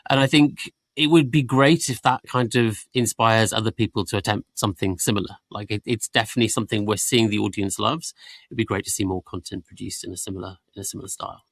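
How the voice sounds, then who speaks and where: A low-pitched man in a conversational panel discussion. He speaks reflectively and puts emphasis on key words. The sound is dry and close. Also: fast talker, vocal fry, no echo. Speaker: production team member on a panel.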